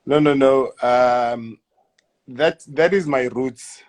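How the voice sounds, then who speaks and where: A man in his thirties with a South African accent, answering interview questions in a hesitant, halting way, often repeating words and letting sentences trail off quietly. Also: mid-range voice. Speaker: guest footballer. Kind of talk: casual interview.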